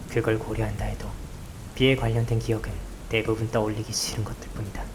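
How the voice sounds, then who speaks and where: A man playing a brooding, gloomy young protagonist of a visual novel, with subdued, halting delivery. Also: medium pitch, noisy background, natural voice. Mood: gloomy, weary. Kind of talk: visual novel inner monologue and dialogue. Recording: loud rain and thunder behind. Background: rain and thunderstorm.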